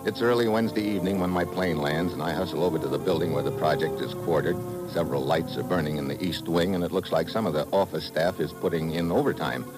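Actor as deep-voiced, two-fisted American government agent. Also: male voice, fast talker, hardly any pauses, lightly acted, low-quality recording. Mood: matter-of-fact. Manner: clipped, blunt, businesslike. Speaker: lead actor and narrator of a radio drama. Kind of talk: 1950s radio drama acting, hard-boiled narration. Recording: vintage radio recording with music.

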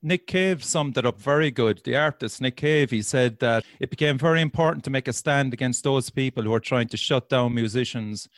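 A man's voice: mid-range voice, brisk, hardly any pauses, no echo, studio quality. A man in his forties with an Irish accent, hosting a casual interview in a chatty, easygoing way. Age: 40s.

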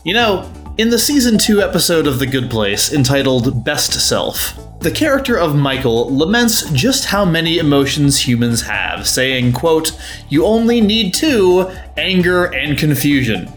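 Man, podcast co-host, slightly high voice, theatrical announcer flair, scripted intros mixed with chat, music behind. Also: sing-song, measured pace.

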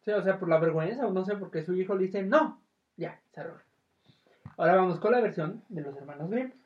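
A woman's voice: fairly low pitch, fairly quick. A woman with a Mexican accent chatting informally and playfully as a podcast host, retelling stories and trivia. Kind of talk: casual chat retelling film trivia and tales. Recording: dull, lo-fi audio.

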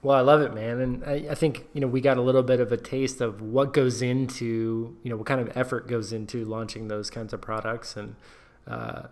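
Male podcast host, mid-pitched, casual and encouraging, filler-heavy. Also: flat delivery, moderate pace, a little mellow. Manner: upbeat, encouraging, many fillers. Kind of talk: casual interview chat.

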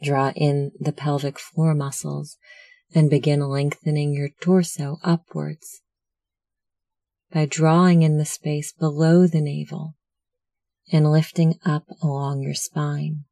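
A meditation instructor narrating a guided meditation in a measured, soothing, instructional manner. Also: medium pitch, flat delivery, creaky, warm tone, no room sound, studio quality.

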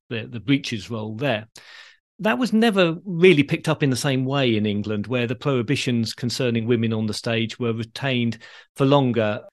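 Man explaining things in conversation. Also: medium pitch. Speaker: podcast host. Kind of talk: conversational explanation of theatre history.

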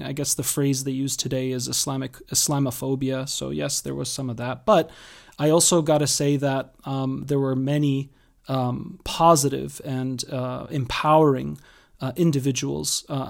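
Gravelly, chatty man from Massachusetts. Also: medium pitch. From Massachusetts, USA. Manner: chatty, joking, many fillers and restarts. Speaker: podcast guest. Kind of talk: casual interview talk.

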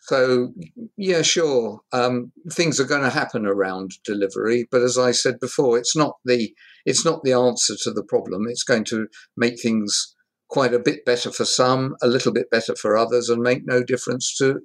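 A man answering interview questions in a measured, thoughtful way. He pauses often and fills the gaps with small hesitations as he works his points out. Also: medium pitch. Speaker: hospitality industry guest expert. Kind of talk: conversational interview.

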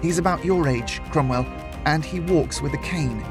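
A man reading a novel aloud, voicing a sharp-tongued, impatient young woman in a raised voice. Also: normal speed, over music, natural voice. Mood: grave. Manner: raised pitch, indignant outbursts.

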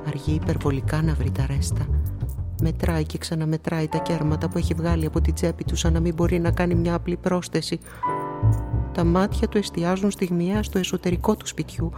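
A woman's voice: lowish voice, little pitch movement, natural voice. An actress playing a lonely woman who tells her own story in a radio play, wry at first and then breathless with alarm, with music behind her. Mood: tense. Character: lonely woman living alone, tense and wry. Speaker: first-person narrator character. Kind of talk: radio drama acting.

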